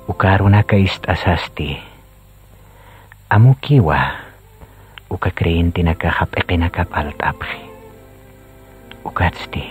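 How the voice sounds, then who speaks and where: A male narrator tells a radio drama in a low voice with a Bolivian accent. His reading is solemn and very slow. The audio is a bit dull. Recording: muffled radio audio, music underneath. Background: faint music.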